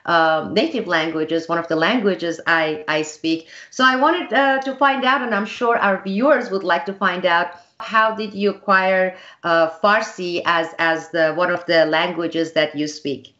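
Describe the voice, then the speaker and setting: Halting woman hosting an interview, muffled. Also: on the low side, steady tone, fairly bright tone. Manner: hesitant, halting with many restarts. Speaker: interview host. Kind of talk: conversational interview.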